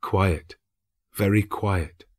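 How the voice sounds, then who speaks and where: A man narrating a story as an actor would, measured, solemn and expressive. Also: low-pitched. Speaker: narrator, actor reading an audiobook. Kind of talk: dramatic scripted storybook narration.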